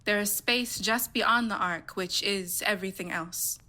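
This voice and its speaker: A woman narrates a play script, reading out the setting and stage directions. Her voice is bright and crisp-edged, at a middle pitch. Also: a little slow.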